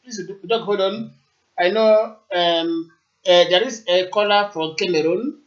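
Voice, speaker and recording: High-voiced man hosting a call-in. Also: measured pace. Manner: mixes Igbo and English, polite. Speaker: radio host. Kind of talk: live radio call-in hosting. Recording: muffled lo-fi stream.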